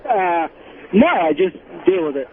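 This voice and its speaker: A man with a slightly high voice answers haltingly as a radio caller. He sounds subdued, and the phone line is thin with faint noise. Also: very animated. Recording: noisy tinny phone line.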